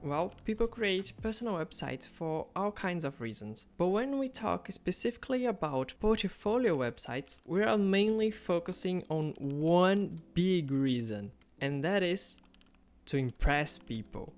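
A man's voice: high-pitched, expressive, a little slow. A man whose first language is Portuguese, narrating a coding project in an upbeat, casual voice-over. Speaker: web developer vlogger. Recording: soft background music under voice.

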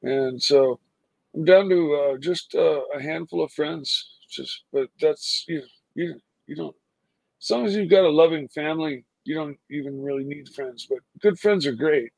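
Man on a video call, slightly high voice, dry close sound, halting chat. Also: creaky, a little mellow, no room sound, poor audio. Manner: halting, many fillers and restarts. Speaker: livestream co-host. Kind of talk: casual chat.

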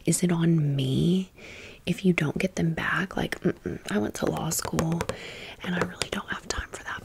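A woman's voice: on the low side, normal speed, vocal fry, slight background noise. A woman hosting an ASMR storytime, rambling casually and conversationally with wry asides. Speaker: ASMR video host.

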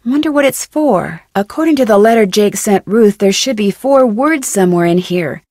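Curious, plucky young detective. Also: female voice, medium pitch, lively intonation, normal speed, darkish tone, no room sound. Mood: puzzled, musing. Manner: inquisitive, chipper, clearly enunciated musing to herself. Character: curious, plucky young female amateur detective, thinking aloud. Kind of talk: adventure game voice acting.

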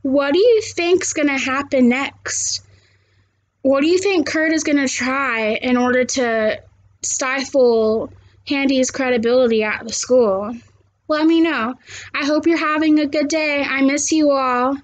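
A woman's voice: high voice, measured pace, poor audio. A young adult woman reading a children's novel aloud like a teacher and addressing young listeners in simple, direct words. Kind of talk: read-aloud of a children's novel with chat to viewers. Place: small living room, seated on a couch indoors.

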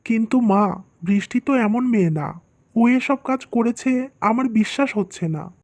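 A woman's voice: on the high side, unhurried, a little mellow. A woman voicing an earnest, worried young husband and dutiful son in a scripted cartoon, speaking plainly.

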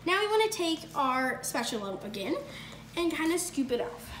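A woman's voice: sits high, very animated, moderate pace. A young woman hosting a casual home cooking video, chatty and upbeat, with lots of fillers and self-corrections, recorded on a phone in a kitchen. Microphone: phone camera across the counter. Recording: echoey kitchen with noise.

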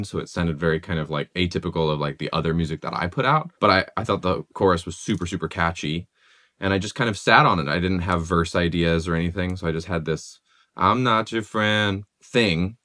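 A man's voice: deep voice, no room sound. A young man in his twenties, a music producer, casually explaining how a song was made in his home studio, with many "like" fillers. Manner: relaxed, conversational, many "like" fillers.

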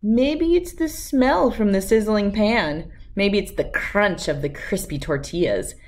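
A woman in her thirties reading a children's storybook aloud with expressive, emphatic delivery and dramatic pauses. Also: mid-range voice. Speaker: read-aloud host.

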